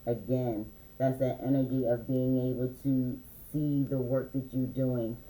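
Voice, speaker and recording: A man giving a relaxed, meandering spiritual card reading, reading affirmations aloud slowly, with many fillers. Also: medium pitch, echoey room, rough recording. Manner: slow, reads affirmations aloud. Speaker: spiritual reader.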